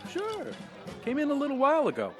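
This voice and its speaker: High-voiced, chummy speakeasy host. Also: male voice, huge pitch swings, brisk, exaggerated voice. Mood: obliging. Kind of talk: audio drama acting. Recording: music behind the voice.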